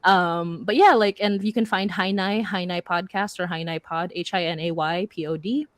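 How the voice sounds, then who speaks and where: A woman's voice: lowish voice, steady tone, moderate pace, no room sound. Dry-sounding woman speaking informally and emphatically. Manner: giggly, informal, emphatic, stop-start phrasing. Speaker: panelist, audio drama and comics creator. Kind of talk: casual, animated panel talk.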